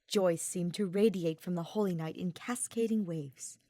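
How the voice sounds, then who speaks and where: A woman with a slightly low voice reads scripted fiction aloud. Her storytelling is expressive and deliberate, and the sound is close and dry, with little room in it. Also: dry recording. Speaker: audiobook-style reader of fan fiction.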